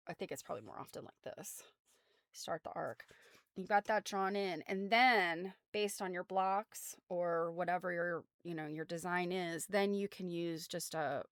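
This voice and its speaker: A woman's voice: on the low side, no room sound, clean studio sound. An American woman hosting a casual craft livestream, explaining things in an informal, rambling way full of trailing asides. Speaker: host of a craft show. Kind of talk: casual livestream chat about quilts.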